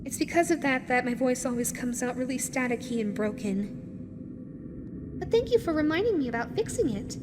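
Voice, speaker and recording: A woman's voice: sits high, a little fast, over music. A woman voicing a playful, lonely female animatronic fox in a roleplay audio drama, chatty, coy and teasing as she talks to the listener. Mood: sheepish, chatty. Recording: loud steady background noise.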